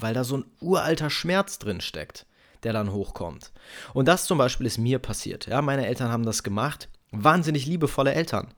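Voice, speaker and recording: Ein Mann in seinen Dreißigern mit deutschem Akzent, der als Beziehungscoach locker und direkt spricht und den Zuhörer duzt. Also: male voice, mid-range voice. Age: 30s. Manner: candid, direct, addresses the listener as du. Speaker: relationship coach. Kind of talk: casual monologue to camera.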